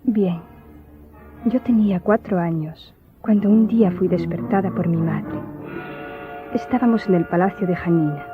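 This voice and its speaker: An actress playing a melancholy young Greek noblewoman in a radio drama, recounting memories in a solemn, formal, measured way. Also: fairly low pitch, natural voice. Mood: solemn, remembering. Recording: muffled audio with music behind.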